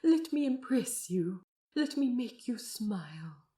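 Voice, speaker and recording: A woman voicing a gentle, forlorn man, an earnest and fussy aquarium guide with old-fashioned politeness, in an audiobook drama. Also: a little high, sing-song, slow, slightly rough, exaggerated voice. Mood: eager, pleading. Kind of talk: audiobook character acting.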